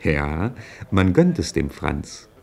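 Deep-voiced, knowing storyteller. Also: male voice, sing-song, takes it slowly, vocal fry, a little mellow, full character voice. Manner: conversational, wry, present-tense commentary. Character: knowing, familiar male storyteller following his hero. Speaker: narrator. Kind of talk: scripted radio drama narration.

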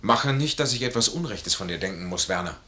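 An actor playing a proud, honorable army major in a 1950s German radio play, with formal, emphatic stage diction. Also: male voice, fairly low pitch, animated, brisk, sharp tone. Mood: stern, proud.

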